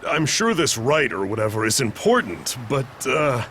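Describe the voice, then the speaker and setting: An actor playing a casual, eager young companion in a video game, with an informal manner. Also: male voice, medium pitch, very animated, normal speed, a bit bright, slight background noise. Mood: casual, urgent. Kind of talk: scripted video game acting.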